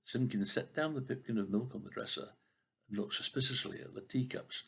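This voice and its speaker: Gravelly older man reading a children's story. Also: mid-range voice, fairly quick. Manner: expressive with occasional stumbles over words. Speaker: grandfather reading a storybook aloud.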